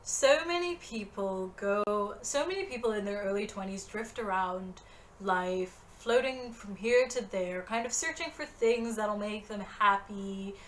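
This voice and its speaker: A woman's voice: mid-range voice, fairly bright tone, a little hiss or hum. A woman in her twenties talking earnestly to the camera in a motivational vlog, direct and encouraging. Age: 20s. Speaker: vlogger.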